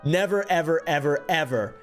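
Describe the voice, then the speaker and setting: A man's voice: a little high, normal speed, soft background music. A young man from the American South streaming from his home setup, giving rambling, sarcastic commentary on social media posts and swearing often. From Southern United States. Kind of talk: casual reaction commentary. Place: home streaming room with colored lights. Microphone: studio mic on arm, headphones.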